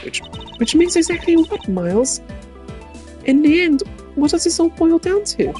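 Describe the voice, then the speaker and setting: Man putting on a high-pitched, spirited young girl. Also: unhurried, soft background music, full character voice. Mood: impatient, puzzled. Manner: pert, questioning, excitable. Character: spirited, impatient young girl sidekick in detective game.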